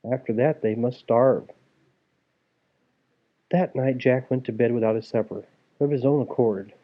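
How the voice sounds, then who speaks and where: A man's voice: medium pitch, a little slow. Male narrator for children's fairy tales: mid-range voice, storytelling delivery, narrow tinny recording. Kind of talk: animated reading of a children's fairy tale. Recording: tinny, phone-like narrow sound.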